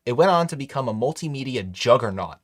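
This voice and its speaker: Male streamer host, chatty and upbeat, mid-pitched voice, dry close sound. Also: expressive, fast talker, dry recording. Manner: chatty, upbeat, talks to chat. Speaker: livestream host. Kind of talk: casual live stream chat.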